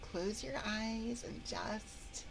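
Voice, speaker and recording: A woman voicing a friendly, possessive monster from under the bed in an ASMR roleplay, coaxing softly and often whispering into a close binaural microphone. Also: a little high, drawn-out words, creaky, slight background noise, voice pushed up, heavily acted, rough recording. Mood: playfully coaxing. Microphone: binaural 3Dio microphone, close up.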